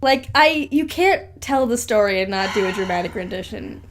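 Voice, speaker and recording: A woman's voice: medium pitch, expressive, normal speed, slight background noise. A woman co-hosting a podcast in casual banter, with a playful, teasing, slangy way of talking. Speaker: podcast co-host.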